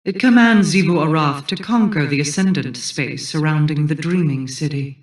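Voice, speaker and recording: A woman's voice: deep voice, normal speed, darkish tone, close to an everyday voice. An actress playing a regal, guarded fantasy queen in a video game, speaking in a formal, imperious manner. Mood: commanding, guarded. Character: regal, solemn, guarded Awoken queen, vengeful and commanding. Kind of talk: scripted video game dialogue. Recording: echoing, distant-sounding game voice.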